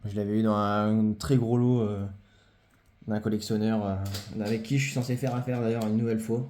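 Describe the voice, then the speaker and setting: Mellow low-voiced man, hesitant chat. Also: level tone, fairly quick. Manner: hesitant, many fillers and repeated phrases. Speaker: collector presenting his trading cards. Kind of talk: casual show-and-tell vlog.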